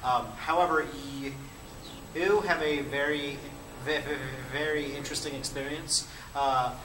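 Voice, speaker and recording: A man's voice: a little high, steady tone, measured pace. A man moderating a panel asks a question and stutters often on his words. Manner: stutters with many repeated sounds. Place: lecture hall. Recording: echoey hall from far off, clear noise.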